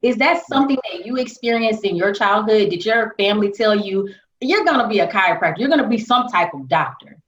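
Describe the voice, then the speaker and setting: A woman's voice: mid-range voice, lively intonation, a little fast, fairly bright tone. A woman hosting a podcast, chatting with her guest and asking friendly questions. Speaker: podcast host. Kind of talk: casual interview. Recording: roomy, dull lo-fi sound.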